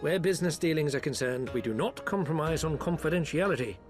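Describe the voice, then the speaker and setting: Male actor as a foppish trade envoy: theatrical, high-ish, animated delivery, music underneath. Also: fast talker, lightly acted. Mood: explaining. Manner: theatrical, flowery and ingratiating. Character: smooth-talking foppish male merchant agent. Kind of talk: video game cutscene. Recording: with background music.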